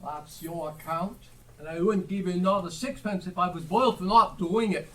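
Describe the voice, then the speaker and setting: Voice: medium pitch, normal speed, bright, forceful tone, lightly acted. A performer playing a sly, wheedling old rag dealer of nearly seventy in an amateur Dickens stage play. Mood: grudging, haggling. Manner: wheedling, mock-hospitable, haggling. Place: theatre stage, live performance. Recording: live stage recording, distant and noisy.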